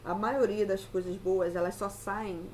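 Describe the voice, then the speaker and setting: Young woman vlogging, chatty and reflective, mid pitch, bit of faint background hiss. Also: fairly even intonation, a little slow, darkish tone. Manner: chatty, tag questions with "né". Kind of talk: casual reflective monologue.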